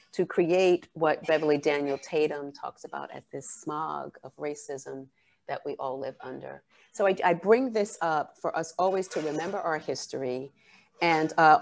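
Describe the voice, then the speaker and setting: Low-voiced woman giving an earnest keynote. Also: vocal fry, a little mellow. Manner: earnest, measured, with frequent fillers. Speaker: keynote speaker. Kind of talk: academic keynote talk. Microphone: video call.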